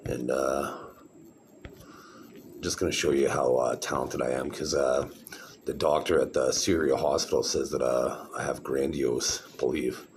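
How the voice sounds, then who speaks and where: A man's voice: extremely low pitch, steady tone, unhurried, slight background noise. A middle-aged man rambling to the camera in a casual vlog, meandering with many fillers and restarts. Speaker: vlogger showing his own videos.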